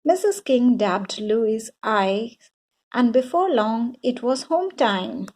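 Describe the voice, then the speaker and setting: A woman reading a children's bedtime story aloud in a deliberate, expressive way for young listeners. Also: on the high side.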